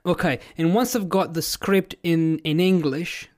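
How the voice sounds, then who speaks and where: A man hosting an interview, relaxed and joking as he asks short questions. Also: fairly high pitch, unhurried. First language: Italian. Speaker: host, language teacher. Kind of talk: casual interview.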